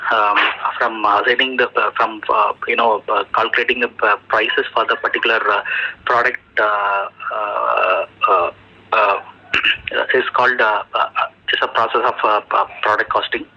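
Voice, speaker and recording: A man with an Indian accent answering technical questions as a job candidate over the telephone, halting often and searching for words. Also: on the low side, pushed and bright, a little hiss or hum. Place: telephone call. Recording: muffled, dull telephone interview recording.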